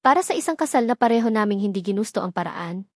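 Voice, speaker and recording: A woman's voice: mid-range voice, lively intonation, quick pace, darkish tone, dry recording, lightly acted. A torn young woman wondering aloud in confusion and grief, voiced plainly by a woman reading the story. The sound is dry and close, with no room around it. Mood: bewildered. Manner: questioning, bewildered.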